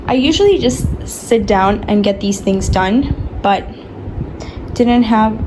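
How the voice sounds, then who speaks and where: A woman's voice: on the high side, takes it slowly, noisy background. A young woman in her twenties from Argentina, with an Argentine Spanish accent and Spanish as her first language, chatting casually and tiredly in a rambling vlog. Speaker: vlogger. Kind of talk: casual chat. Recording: music playing in the background.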